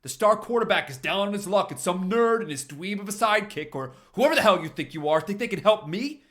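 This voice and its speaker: A cocky, hostile teenage jock in an acted story reading, voiced by a man. The voice sits higher and louder, full of scorn and defiance. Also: animated, fairly quick. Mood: furious scorn. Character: belligerent, proud teenage jock, star quarterback.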